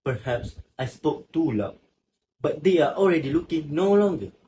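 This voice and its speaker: A man acting a solemn stranger in a student stage play, recounting things deliberately in short phrases. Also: on the high side, lively intonation, mellow, natural voice. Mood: earnest. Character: solemn male stranger who found a drowned woman. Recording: noisy amateur recording with ambient sound.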